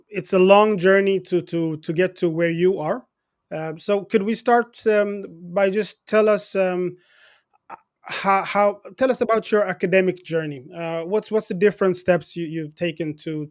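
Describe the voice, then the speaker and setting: Male interview host, notably high-pitched voice, halting delivery with repeated words. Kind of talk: interview with a guest.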